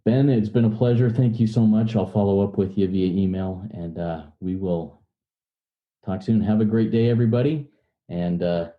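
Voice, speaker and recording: A man's voice: lowish voice, normal speed. A man hosting a recovery meeting, speaking as the one who leads announcements and prayer, on dull lo-fi audio. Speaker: podcast host.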